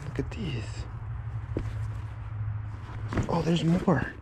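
A man's voice: fairly high pitch, animated. A man giving casual running commentary as he digs through a storage unit, muttering to himself and cheering at good finds, recorded outdoors on a head-mounted camera. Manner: unhurried, muttering to himself, upbeat when finding items. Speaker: reseller sorting a storage unit. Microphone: head-mounted camera, point of view. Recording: loud background noise.

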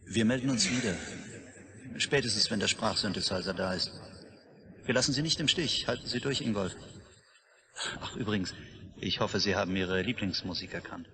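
An actor playing a formal, reassuring institute scientist in a radio drama, explaining in a gravelly voice with an upbeat manner. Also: male voice, lowish voice, moderate pace, long pauses, sharp tone. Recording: noisy ambient background.

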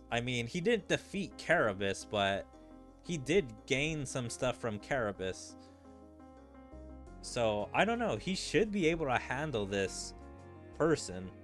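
A man gaming streamer giving casual, rambling playthrough commentary, thinking aloud in a puzzled way. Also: mid-range voice.

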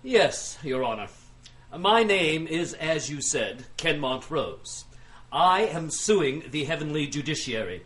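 A man playing a self-assured, pompous plaintiff in an audio drama, addressing a court with deliberate, oratorical delivery. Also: a little high, very animated, fairly bright tone, full character voice. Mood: formal, defiant. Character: self-assured male plaintiff arguing pompously against God.

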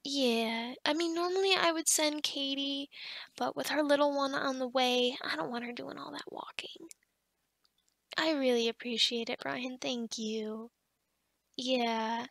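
A woman's voice: high voice, fairly even intonation, a little slow, creaky, fairly bright tone, no echo, full character voice. A woman playing a kindly, scatterbrained office boss in an ASMR roleplay, chatting in a rambling, encouraging way. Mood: busy, grateful, then excited, caring. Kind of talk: ASMR roleplay monologue, casual pep talk.

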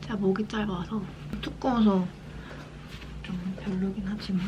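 A young adult woman from South Korea chats casually as a lifestyle vlogger during her daily routine, soft-spoken and unhurried. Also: medium pitch, steady tone, muffled recording. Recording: clear background room noise.

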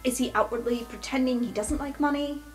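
A woman's voice: high-pitched, fairly quick. A woman in a tabletop roleplay, thinking aloud and improvising in casual conversation. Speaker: tabletop game player. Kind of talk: casual improvised character brainstorming. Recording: music bed under the voice. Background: background music.

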